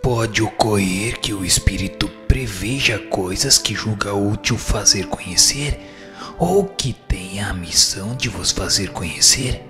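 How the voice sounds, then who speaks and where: A man's voice: fairly low pitch, a little slow. A man with a Brazilian accent playing a wise, firm spirit who gives formal, didactic answers in a scripted radio drama. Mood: firm, cautioning. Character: instructive male spirit, wise, firm and solemn. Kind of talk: scripted dialogue, formal reading. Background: music.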